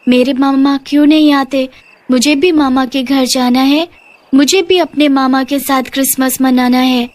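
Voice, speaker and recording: A woman's voice: high-pitched, fairly even intonation, a little slow, dry recording. A woman voicing an eager, wistful little girl in a children's story, earnest and pleading. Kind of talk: children's moral story voice acting.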